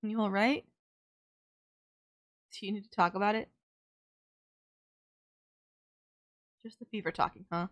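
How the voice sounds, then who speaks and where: A woman's voice: mid-range voice, fairly even intonation, fairly quick, close to an everyday voice. Woman acting as a sardonic but caring villainess. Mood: concerned, earnest. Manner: blunt, teasing, exasperated yet nurturing. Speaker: voice actor. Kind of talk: audio roleplay drama, intimate one-sided dialogue.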